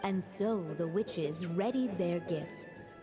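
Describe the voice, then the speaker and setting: A woman playing a solemn, gentle witch who narrates a fairy tale in a video game, measured and storytelling. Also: lowish voice. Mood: solemn, tender. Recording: long reverb under clear music.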